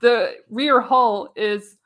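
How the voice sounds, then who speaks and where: A woman's voice: on the high side, very slow, noisy background. A woman narrating screenplay directions for a live radio play, reading dramatically with the odd stumble. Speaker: narrator, show host.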